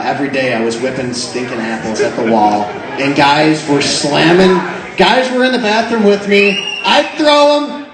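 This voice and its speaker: A young man spins a comic tale into a microphone, a touch higher in pitch than most men, laughing as he goes. His voice bounces around a very echoey hall, with a crowd chattering loudly behind him. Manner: animated, laughs often while telling. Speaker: storyteller. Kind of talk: comic storytelling to a live crowd. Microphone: microphone into a speaker system. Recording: very echoey room with crowd noise.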